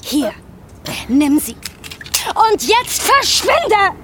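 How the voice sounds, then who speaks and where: A woman's voice: extremely high pitch, very animated, extremely slow, exaggerated voice. An actress playing an angry, scornful woman in a radio drama, curt and exasperated. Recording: clearly noisy scene with clicks.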